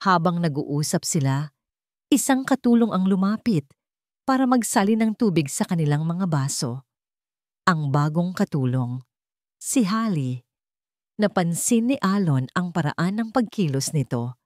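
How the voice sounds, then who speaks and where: A woman narrating scripted dramatic fiction in a measured, suspenseful way, with deliberate pauses. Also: lowish voice, expressive, darkish tone, no echo, clean studio sound. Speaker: story narrator.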